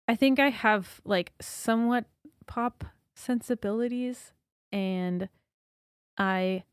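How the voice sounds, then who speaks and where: A young woman, a singer-songwriter guest, talking casually and thoughtfully in a podcast interview, soft-spoken with many fillers. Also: mid-range voice, clear, resonant tone, no room sound, studio quality.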